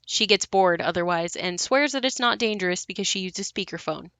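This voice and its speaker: An actress plays a worried grown daughter telling family memories in an audio drama. Her voice is bright and forward, close and dry, with a conversational, matter-of-fact delivery. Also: medium pitch, brisk, dry recording, lightly acted. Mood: wry concern. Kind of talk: audio play monologue, conversational.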